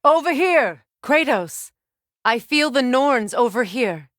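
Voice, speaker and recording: An actress playing a proud, determined Norse goddess and Valkyrie queen in scripted video game dialogue, measured and composed in manner. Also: sits high, expressive, fairly bright tone, no room sound, clean studio sound, exaggerated voice. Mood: urgent, calling out.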